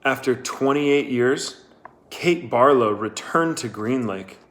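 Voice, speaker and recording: A man's voice: medium pitch, expressive, slow, fairly bright tone. A man reading a novel aloud as its narrator. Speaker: audiobook-style narrator.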